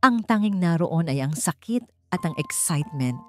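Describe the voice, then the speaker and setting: A woman narrates a romantic drama in a fairly low voice. Her delivery is measured and scripted, with soft music running behind her. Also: animated. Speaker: story narrator. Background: background music.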